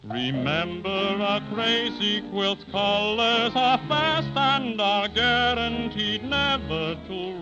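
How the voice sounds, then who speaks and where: Playful dragon song, super slow, music behind, old 30s radio. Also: female voice, mid-range voice, expressive, lo-fi sound.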